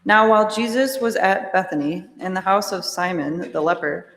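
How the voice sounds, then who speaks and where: A woman narrating a dramatic scripture reading in a measured, formal manner. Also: mid-range voice. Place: large church during a service. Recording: echoey church, long reverb.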